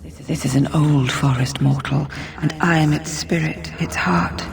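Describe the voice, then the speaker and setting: A woman playing an ancient, compassionate female forest spirit in a fantasy video game, speaking in a measured, solemn way. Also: sits low, fairly even intonation, gravelly.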